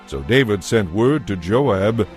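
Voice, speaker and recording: A man narrating a dramatized audio Bible from a script, in a measured storytelling manner. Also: fairly low pitch, lively intonation, soft background music.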